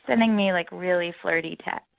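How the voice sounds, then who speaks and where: A woman's voice: on the low side, fairly quick, vocal fry. A young woman talking casually and explaining herself as a guest on a radio phone-in. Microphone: phone call. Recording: thin, band-limited phone line.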